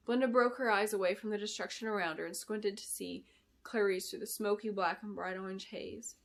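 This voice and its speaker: A woman narrating a fantasy novel in an expressive, deliberate storytelling style, as an author reading aloud. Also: medium pitch, creaky. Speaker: author reading her book. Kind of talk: dramatic scripted fantasy narration.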